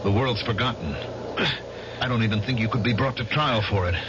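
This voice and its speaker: A man's voice: lowish voice, brisk, lightly acted. An actor playing a determined male war veteran who presses for a confession, in 1970s radio drama style. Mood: accusing. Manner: insistent, interrogating. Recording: dull old radio broadcast with hiss.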